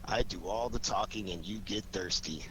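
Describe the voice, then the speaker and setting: An actor playing a confident, teasing detective in an old-time radio drama. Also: male voice, fairly low pitch, moderate pace, sharp tone, rough recording. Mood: breezy, teasing. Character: confident, teasing male detective, fond of his companion. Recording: faint background noise.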